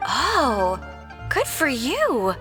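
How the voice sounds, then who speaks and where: A woman's voice: high-pitched, huge pitch swings, drawn-out words, big-room sound, loud music behind the voice, heavily acted. A woman playing an aloof, casual young operative in a video game. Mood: guarded, dry.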